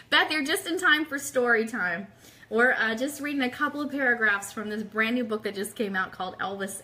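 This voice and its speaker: A woman's voice: mid-range voice, sharp tone. A woman with a mid-range voice talks as the host of a casual livestream show. She is chatty and enthusiastic, speaking directly to her followers in an informal, exclaiming way. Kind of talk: casual livestream chat with book read aloud.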